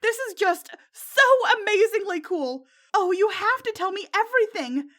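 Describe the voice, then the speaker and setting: A woman voicing a loyal, excitable teenage girl who gushes like a superhero fan in a narrated story. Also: very high-pitched, moderate pace, voice pushed up, full character voice. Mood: squealing excitement. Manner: gushing, squealing when excited.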